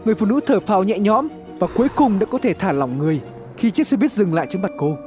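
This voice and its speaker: A man narrating a scripted horror story in a suspenseful, expressive, dramatic way. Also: high-pitched, fast talker. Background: background music.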